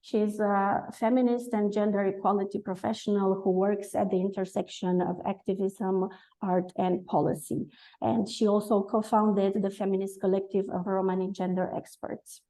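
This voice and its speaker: A woman's voice: medium pitch, flat delivery, mellow, low-quality recording. A woman moderating an online webinar over a video call, giving housekeeping notes and speaker introductions with frequent filler sounds. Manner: quick, with many "uh" and "um" fillers.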